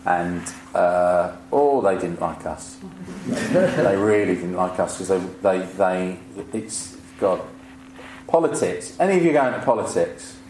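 Male, fifties, low voice, wry sweary live raconteur, very echoey room. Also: expressive, slow pace. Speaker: comedian speaking on stage. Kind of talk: informal live storytelling to an audience. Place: indoor event before an audience. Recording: echoey live room with clear background noise.

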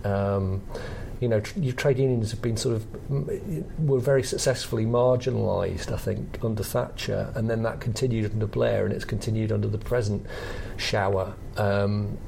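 Middle-aged British man, gravelly creak. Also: lowish voice, fairly even intonation, moderate pace, hardly any pauses, a little mellow, a little hiss or hum, low-quality recording. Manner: reflective, hesitant, explanatory. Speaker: podcast co-host. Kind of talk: conversational analysis of comedy history.

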